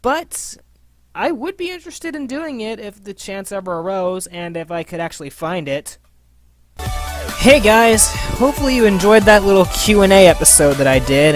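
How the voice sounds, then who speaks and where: A woman hosting a casual scripted Q&A in an informal, playful, sweary manner. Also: mid-range voice. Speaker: host and voice actor of a fan abridged series.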